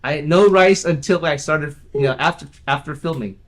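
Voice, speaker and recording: A man talks in a relaxed, good-humored way as a guest on an interview show, his voice sitting a bit high for a man. He often chuckles and restarts his sentences, filling the gaps with "you know". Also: moderate pace. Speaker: film company owner and actor, guest. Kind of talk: casual interview chat.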